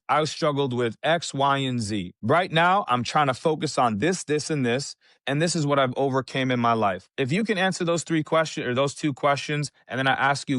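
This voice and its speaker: A man's voice: medium pitch, a bit bright, no echo, studio quality. A man co-hosting a podcast, talking in an impassioned conversational way and repeating phrases for emphasis. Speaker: podcast co-host.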